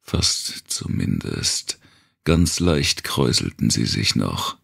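A man reading scripted narration for a dark thriller audiobook. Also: a bass voice, very animated, slow pace, vocal fry, husky.

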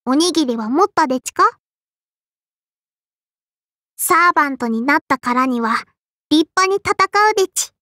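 A woman's voice: sits high, animated, a little slow, pauses often, bright, forceful tone, dry recording, studio quality, exaggerated voice. A woman voicing a small, strict and prim sparrow-spirit girl in an anime game, with a childlike lisp and polite but scolding speech. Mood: polite, dutiful. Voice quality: childlike, lisping. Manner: polite but scolding, quirky verbal tic. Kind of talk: anime game character voice lines.

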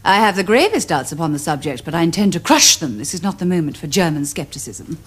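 A woman's voice: sits low, very animated, quick pace, slightly rough, exaggerated voice. An actress playing a self-assured young society lady with an upper-class English accent and precise, affected delivery. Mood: grand, earnest. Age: young adult. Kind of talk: period television comedy acting.

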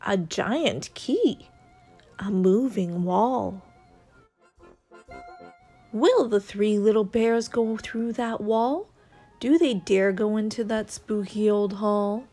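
A woman narrating a picture book aloud in a deliberate, suspenseful storytelling manner for young children. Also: medium pitch, mellow. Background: music.

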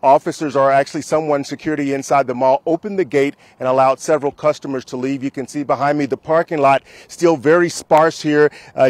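A man's voice: medium pitch, a little mellow, dry recording. A man in his thirties, a field reporter speaking into a handheld mic outdoors, delivering the news earnestly. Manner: earnest, emphatic live delivery. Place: outdoors in a mall parking lot.

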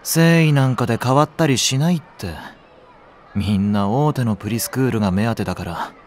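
A man's voice: mid-range voice, a little fast, slight background noise, lightly acted. An actor playing a cynical, lazy young male nursery teacher in an audio drama, with a flippant, teasing delivery. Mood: sardonic. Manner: flippant, sardonic. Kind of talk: Japanese audio drama acting.

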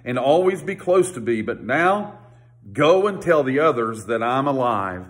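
A man voicing a gentle, kind Jesus in a children's storybook, speaking tenderly. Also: mid-range voice, lively intonation, unhurried, voice pushed down.

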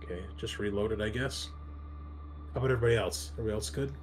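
A man narrating casually as game master, improvising in a halting way. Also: fairly low pitch, a little hiss or hum.

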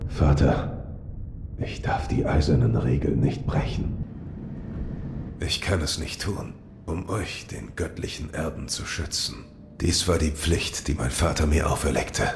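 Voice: a bass voice, animated, a little slow, creaky, noisy background, exaggerated voice. An actor in an audio drama playing a grim, loyal shinobi warrior who speaks in terse, solemn phrases. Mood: solemn, dutiful.

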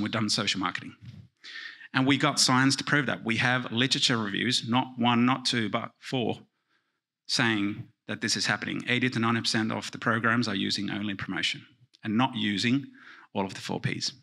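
A man in his thirties from Finland giving a joking conference keynote in a large lecture hall, speaking into a handheld microphone and dropping into quiet asides. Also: mid-range voice, gravelly. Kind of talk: conference talk with jokes.

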